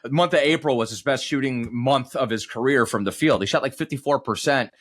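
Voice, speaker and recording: A man's voice: medium pitch, fairly quick, fairly bright tone. Man talking sports in long, winding explanations. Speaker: basketball radio play-by-play announcer, podcast guest. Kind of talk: conversational sports analysis.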